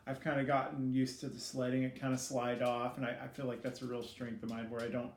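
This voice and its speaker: A middle-aged man giving casual, reflective interview answers in a hesitant, halting way, as a student teacher being interviewed. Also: medium pitch, flat delivery. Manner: hesitant, halting, self-critical. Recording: echoey room with faint background noise.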